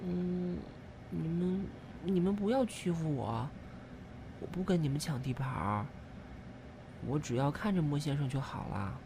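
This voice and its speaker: A woman's voice: low-pitched, expressive, unhurried, vocal fry, noisy background, exaggerated voice. A woman voicing a shy, lovestruck young tomato in an audio drama, with a bashful, gushing manner. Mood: timid, devoted. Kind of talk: Chinese audio drama acting. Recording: music behind the voice.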